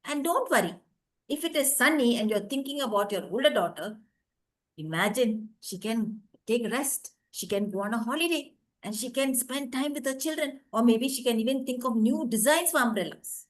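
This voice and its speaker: A woman voicing a kind, patient male priest, giving calm, reassuring advice. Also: fairly high pitch, moderate pace, close to an everyday voice, low-quality recording. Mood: soothing. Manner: gentle, reassuring, advising.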